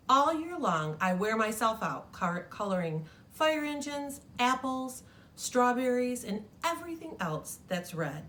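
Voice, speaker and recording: A woman's voice: mid-range voice, a bit bright, slight background noise. A woman reading the letter of an overworked red crayon from a storybook, in a tired, complaining tone.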